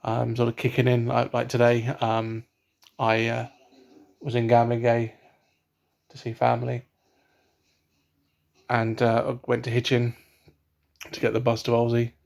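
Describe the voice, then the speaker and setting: Gravelly, hesitant man talking flatly. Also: medium pitch, unhurried, long pauses. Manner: hesitant, many ums and restarts. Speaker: vlogger. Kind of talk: casual unscripted vlog. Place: at home, indoors. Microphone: laptop webcam.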